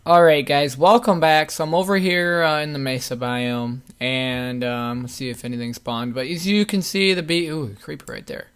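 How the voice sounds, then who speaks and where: A man's voice: fairly high pitch, normal speed, few pauses, fairly bright tone, clear, resonant tone. A young man with a voice that is giving out, rambling through a casual game commentary with many fillers. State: losing his voice. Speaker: gaming channel host. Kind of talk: casual Let's Play commentary.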